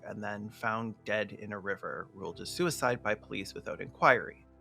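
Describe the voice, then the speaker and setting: A man's voice: on the low side, gravelly, fairly bright tone. A man narrating a scripted video essay with casual asides, in an emphatic, opinionated way. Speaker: video essayist and podcast host.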